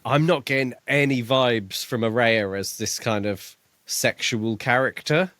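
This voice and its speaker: A man's voice: mid-range voice, measured pace, a bit bright, no echo. A man co-hosting a podcast in a casual book discussion, thinking aloud in a tentative way. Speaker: podcast co-host.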